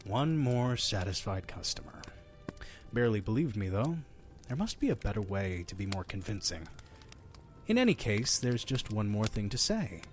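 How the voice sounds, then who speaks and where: Man playing a low-voiced, mysterious storytelling innkeeper. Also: very animated, normal speed, faint music behind. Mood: musing. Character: mysterious, friendly all-seeing innkeeper who tells stories. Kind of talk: audio drama storytelling and dialogue.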